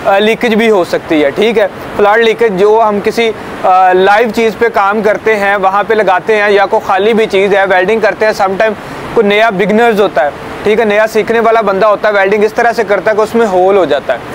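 A man's voice: sits high, steady tone, fairly quick, a little hiss or hum, rough recording. A young man giving a hands-on welding safety lesson outdoors to a small group, explaining step by step and often checking that they follow. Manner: explanatory, checks understanding with "ठीक है" and "समझ आई है?". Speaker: safety trainer. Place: outdoors beside a building, small group of trainees. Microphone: camera at a few meters.

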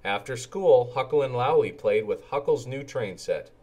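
Male children's storyteller, animated read-aloud delivery with wide-ranging intonation. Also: medium pitch, normal speed. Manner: animated, acts out character lines. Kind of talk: expressive children's storybook narration.

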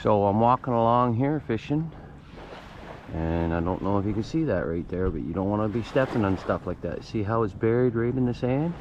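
A man hosting a fishing vlog, talking casually in a folksy, unscripted, halting way. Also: fairly low pitch, animated, a little mellow, muffled recording, a little hiss or hum. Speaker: fishing channel host. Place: garage, outdoor beach and shoreline, varied spots.

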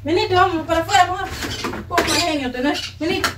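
An actress playing a young daughter, coaxing and calming others. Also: female voice, extremely high pitch, normal speed. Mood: urging. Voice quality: coaxing, placating. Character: young woman, pleading, patient daughter. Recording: loud background noise, birds outdoors.

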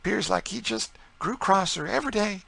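A man voicing a grieving enslaved mother with a rural Southern accent, high and plaintive. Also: moderate pace, vocal fry, fairly bright tone, husky, heavily acted. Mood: sorrowful. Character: frightened grieving enslaved woman, middle-aged mother.

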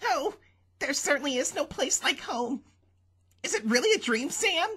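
A man's voice: extremely high pitch, big pitch swings, moderate pace, pushed and bright, full character voice. A man voicing a small, gleefully violent, childlike rabbit in a comic dub, with music behind. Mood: dazed.

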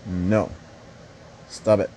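A man's voice: sits low, level tone, extremely slow, mellow, a little hiss or hum. A young man casually commenting on a mobile fighting game, rambling and swearing with joking asides.

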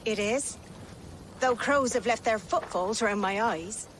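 Actress as an earnest middle-aged woman, highish voice. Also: unhurried, slight background noise, close to an everyday voice. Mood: tender. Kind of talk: video game drama acting.

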